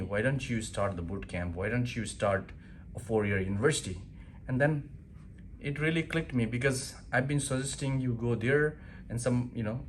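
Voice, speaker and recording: A man in his forties with a Nepali accent speaks in a fairly low voice, earnest and plain, with frequent "uh" and "um" fillers. He talks like a founder giving a welcome address. A faint hum sits behind him. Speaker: university founder. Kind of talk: promotional welcome talk.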